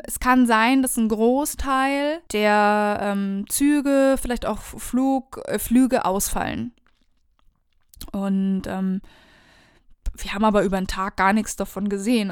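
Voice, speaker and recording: A woman telling viewers a personal travel story in a casual, animated, conversational way. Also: mid-range voice, smooth, dry recording, studio quality.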